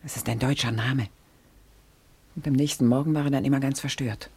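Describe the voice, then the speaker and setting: A woman's voice: extremely low pitch, steady tone, a little fast, mellow, slightly rough, full character voice. An actress playing a scheming landlady in a radio play, whispering secrets and then pleading frantically for her captive husband. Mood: conspiratorial. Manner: conspiratorial, turning frantic and pleading. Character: scheming, then desperate wife of a prisoner of war.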